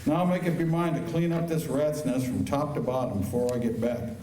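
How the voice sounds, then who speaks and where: A man playing a gruff, no-nonsense, plain-spoken western marshal in an old-time radio drama. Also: mid-range voice, a little fast, slight background noise, natural voice. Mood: gruff, exasperated. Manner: blunt, commanding, plain-spoken. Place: high school auditorium stage. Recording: echoey auditorium, distant mic, loud music.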